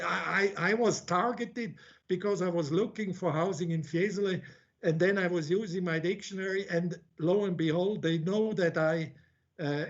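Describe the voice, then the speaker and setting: A man's voice: sits high, highs cut off. A man who grew up in Switzerland, giving a conference talk as an academic. He speaks bluntly, with many restarts and repeated words as he thinks aloud. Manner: blunt, informal asides.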